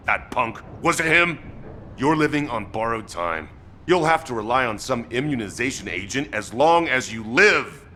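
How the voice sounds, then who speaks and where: An actor playing a gruff, hot-headed but protective male fighter in a video game, blunt and scolding. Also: medium pitch, pushed and bright, a little hiss or hum. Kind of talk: dramatic video game voice acting.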